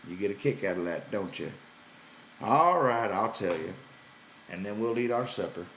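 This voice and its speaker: A man voicing a gruff, weary, irritable ranch hand with folksy rough grammar in an audiobook. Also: on the low side, lively intonation, normal speed, fairly bright tone, reverberant, slight background noise, low-quality recording. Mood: resigned. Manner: gruff, exasperated, folksy ungrammatical speech.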